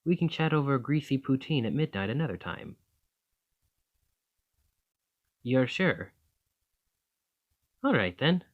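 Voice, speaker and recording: Charming, lively young male student, acted by a man. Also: medium pitch, moderate pace, narrow, tinny audio. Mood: friendly, coaxing.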